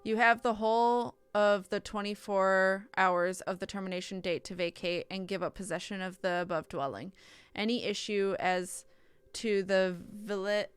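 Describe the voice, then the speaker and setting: A woman's voice: medium pitch, clear, resonant tone, dry recording. A woman streaming a visual novel, reading the text aloud with casual asides and often stumbling and correcting herself.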